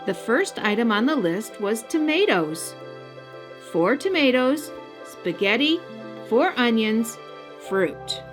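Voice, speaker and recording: A woman hosting a children's story time, narrating a scripted picture book in a deliberate, expressive style. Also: fairly high pitch, music in the background. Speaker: storyteller, host.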